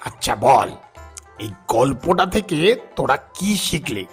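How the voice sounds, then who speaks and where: Cartoon grandfather, played by a man: old, kindly, gently instructive, animated delivery at medium pitch. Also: unhurried, faint music behind. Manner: gently instructive, addressing children familiarly. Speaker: storyteller who frames the tale. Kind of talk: slow cartoon dialogue with children.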